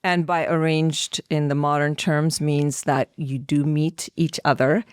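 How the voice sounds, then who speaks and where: A woman's voice: deep voice, level tone, unhurried, clear, resonant tone, no room sound, clean studio sound. A middle-aged woman from India with an Indian accent, answering conversationally as an interview guest and telling personal stories. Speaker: podcast guest, actress and filmmaker.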